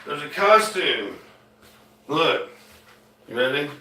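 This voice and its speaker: A man's voice: on the high side, expressive, takes it slowly, pushed and bright, recorded from a distance. A man with a light Southern accent, chatting loosely to viewers during his own music and painting show, trailing off and restarting as he talks. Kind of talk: rambling casual chat to viewers. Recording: echoey room, voice a bit off-mic, guitar music.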